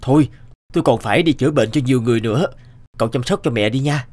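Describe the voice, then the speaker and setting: A man's voice: medium pitch, fast talker, darkish tone, no echo, close to an everyday voice. A man voicing an earnest, principled young village healer in a cartoon, speaking politely and pleadingly. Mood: kind, firm. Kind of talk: animated moral-tale voice acting.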